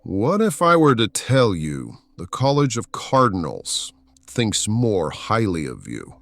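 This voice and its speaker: An actor playing a scheming, pious senior churchman with a husky, insinuating voice in an audio drama. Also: on the low side, huge pitch swings, slow, a bit bright, dry recording, exaggerated voice. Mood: coaxing.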